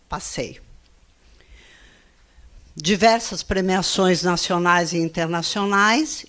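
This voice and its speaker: A woman, giving a measured panel presentation as an engineer. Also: lowish voice, fairly bright tone. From Rio Grande do Sul, Brazil. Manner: measured, slide-by-slide presenting with frequent "né?". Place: event hall, seated at a panel table.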